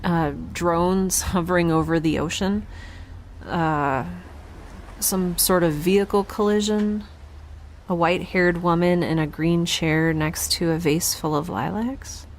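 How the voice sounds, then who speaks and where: An actress playing a guarded, flustered young woman in a sci-fi audio drama, halting, defensive and rambling in a naturalistic style. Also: fairly low pitch, fairly even intonation, a little hiss or hum. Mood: hesitant, uneasy.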